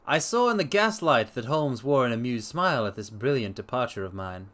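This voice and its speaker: A man giving an expressive dramatic reading of a story to viewers, with casual asides. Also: mid-range voice, fairly quick. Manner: expressive, animated.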